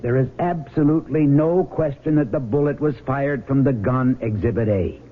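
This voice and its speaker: An actor playing a plain-spoken, stubborn small-town Midwestern sheriff in a radio drama, folksy, blunt and a little halting. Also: male voice, mid-range voice, close to an everyday voice. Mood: exasperated. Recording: tinny, noisy 1970s radio broadcast.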